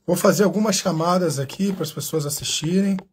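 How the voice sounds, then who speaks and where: A man's voice: high-pitched, fast talker, a little hiss or hum. A Brazilian man hosting a live interview stream, chatting casually, thanking viewers by name and often restarting his sentences. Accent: Brazilian Portuguese. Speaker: channel host.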